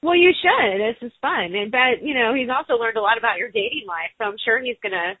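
A woman's voice: fairly high pitch, animated, a little fast, husky, no room sound. A woman co-hosting a casual radio show, upbeat and supportive. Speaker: co-host. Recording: tinny phone-line sound.

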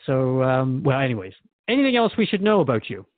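A man's voice: medium pitch, very animated, fairly quick, no room sound, natural voice. A man from Canada playing a skeptical, slightly flustered radio host in a drama, hesitant and conversational as he questions his guest. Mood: curious, probing. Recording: thin phone-like band-limited audio.

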